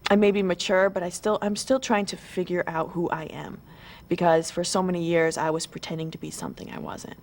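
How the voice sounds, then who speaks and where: A woman's voice: on the low side, level tone, fairly quick, darkish tone. A twenty-year-old woman giving an eager, chatty pre-show interview, full of "you know" and restarts. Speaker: model contestant.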